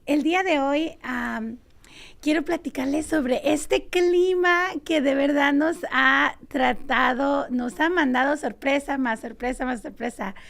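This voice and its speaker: A woman's voice: high-pitched, unhurried, gravelly, fairly bright tone. A woman hosting a casual morning show, chatting and interviewing in an encouraging, friendly way. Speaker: host of a local morning show.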